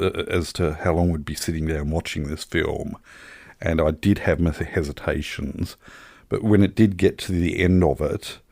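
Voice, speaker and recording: A man co-hosts a casual film podcast in a low-pitched voice. He talks in a wry, wordy way with colourful turns of phrase, and the sound is dry and free of room echo. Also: creaky, warm tone. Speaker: podcast co-host reviewing films. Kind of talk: casual chat.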